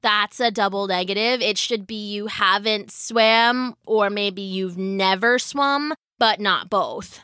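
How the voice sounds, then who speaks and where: A woman's voice: medium pitch, slow, few pauses, bright, forceful tone, clear, resonant tone, no room sound, studio quality. A woman voicing a smug, fussy know-it-all boy who hesitates and then corrects people, in a storybook narration.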